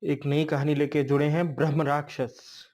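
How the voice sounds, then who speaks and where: A man narrating a ghost story as a storyteller, in short, halting phrases. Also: on the high side, level tone, darkish tone. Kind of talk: slow spoken ghost storytelling.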